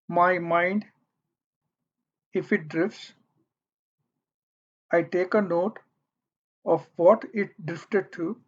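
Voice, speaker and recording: Very slow meditation guide, muffled recording. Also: medium pitch. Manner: gentle, deliberate phrasing with long pauses. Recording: dull, muffled lo-fi audio.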